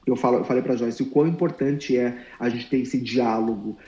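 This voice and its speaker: Earnest thirties man, mellow tone, reflective and halting, echoey room. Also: medium pitch, steady tone, lo-fi sound. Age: 30s. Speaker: guest, former client. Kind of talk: candid interview talk. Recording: roomy echo.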